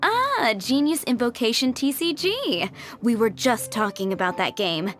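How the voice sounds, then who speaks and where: A woman's voice: a little high, very animated, unhurried, fairly bright tone. A woman playing a polite, gracious and chatty tavern proprietress in a video game. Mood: genial. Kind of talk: scripted video game dialogue. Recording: faint music behind.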